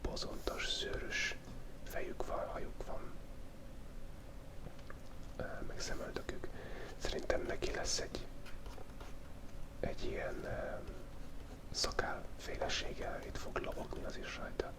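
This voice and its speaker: A young man whispering close to the microphone in a relaxed, joking way, rambling as he draws. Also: long pauses, rough recording. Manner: whispering throughout, joking and rambling while drawing. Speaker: ASMR creator drawing a goblin. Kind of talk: whispered ASMR drawing chat. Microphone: close ASMR microphone.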